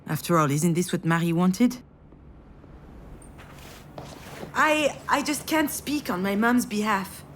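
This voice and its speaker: A woman's voice: medium pitch, lively intonation, moderate pace, slight background noise, close to an everyday voice. An actress playing an anxious, self-deprecating writer in her thirties in a video game, with a hesitant, wry, introspective delivery. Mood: uneasy, brooding. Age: 30s. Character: anxious, self-deprecating 36-year-old failed writer daughter. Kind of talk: naturalistic game voice acting, dialogue and inner monologue.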